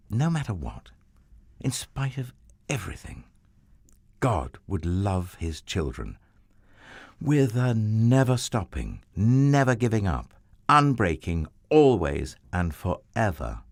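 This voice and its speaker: A man narrating a children's Bible story in a tender, expressive storybook manner. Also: on the low side, slow pace, gravelly, long pauses, dry recording, clean studio sound.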